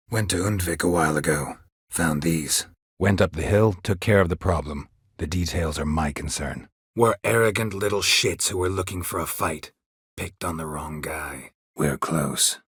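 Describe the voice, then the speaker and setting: An actor playing a gruff, weary, sardonic monster hunter in a video game, with a husky, gravelly low American voice and a terse, deadpan delivery. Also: male voice, dry recording, studio quality, full character voice. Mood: dry, matter-of-fact.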